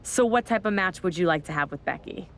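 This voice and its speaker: A woman's voice: fairly low pitch, expressive, fast, a little hiss or hum. A woman playing a bossy wrestling general manager with a stern, commanding manner in a video game cutscene. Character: bossy, scheming female wrestling general manager, easily angered. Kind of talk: scripted game cutscene dialogue.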